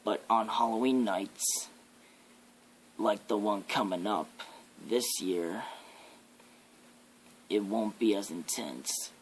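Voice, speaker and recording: Man playing a slow, menacing devil. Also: lowish voice, slight background noise, poor audio. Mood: brooding menace. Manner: ominous, drawn-out, theatrical delivery. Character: menacing devil, a living nightmare preaching fear and death. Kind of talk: slow ASMR horror roleplay monologue.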